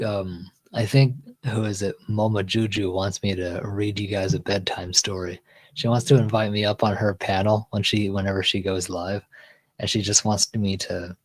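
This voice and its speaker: A man's voice: low-pitched, low-quality recording. A man with a Texan accent hosting a casual livestream, reading chat comments aloud and answering them in a rambling way with restarts and a chest voice. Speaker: livestream host.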